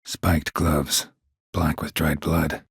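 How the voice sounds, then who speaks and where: A world-weary video game monster hunter with an extremely low, husky voice. He speaks in terse, deadpan lines, stoic and dryly sardonic, recorded close and dry with no room around him. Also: slow, no room sound, full character voice. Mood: dry, brisk. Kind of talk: video game character dialogue.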